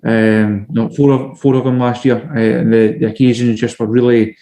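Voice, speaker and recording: A Scottish man, a journalist guest, answering in a measured, steady way with frequent restarts. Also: medium pitch. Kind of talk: casual podcast interview.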